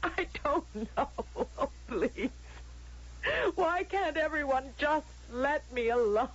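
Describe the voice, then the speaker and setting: Distraught high-voiced woman. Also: lively intonation, slow. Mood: upset, despairing. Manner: pleading, faltering, emotional. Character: distraught, defiant woman in a future society, forbidden a baby. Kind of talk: radio drama acting. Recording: muffled old radio broadcast.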